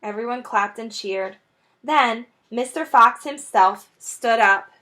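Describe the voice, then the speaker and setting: A woman teacher reading a children's book aloud, expressive and stopping to point out the pictures. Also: a little high, takes it slowly. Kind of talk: children's read-aloud storytelling.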